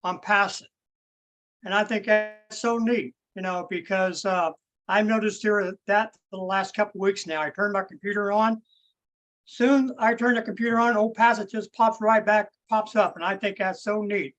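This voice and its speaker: A man's voice: high-pitched, fairly even intonation, moderate pace, a bit bright. A folksy, chatty man shares a small delight with the group on a video call. His voice comes through the call a little muffled and dull. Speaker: caller. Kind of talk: casual chat.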